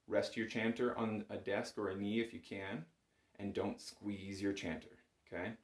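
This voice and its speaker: A man's voice: fairly low pitch, fairly even intonation, normal speed. A man giving a conversational music lesson as an instructor, patient and encouraging, explaining things step by step. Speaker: bagpipe instructor. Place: small home music room, indoors. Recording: roomy indoor echo.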